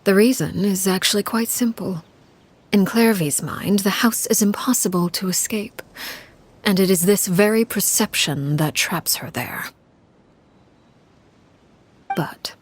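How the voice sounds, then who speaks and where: An actress voicing a cold, composed woman who rules a household of children, speaking in a measured, formal, quietly menacing way. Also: medium pitch, breathy edge, close to an everyday voice.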